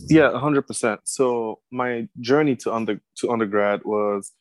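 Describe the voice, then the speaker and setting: A man's voice: mid-range voice, moderate pace, dry recording. A young man from Cameroon, talking casually as a guest in an interview about himself. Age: young adult. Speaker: podcast guest, medical student. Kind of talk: casual interview, personal account.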